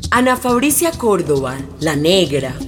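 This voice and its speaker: A woman's voice: lowish voice, big pitch swings, a little slow. A woman announcer giving a dramatic, scripted reading of radio drama titles. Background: music.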